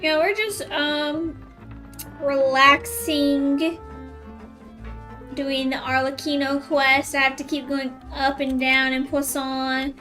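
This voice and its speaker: A woman's voice: high-pitched, fairly even intonation, slow pace, pushed and bright, faint music behind. A woman game streamer chatting casually and playfully with viewers as she plays, teasing them. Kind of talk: casual live-stream chat over gameplay.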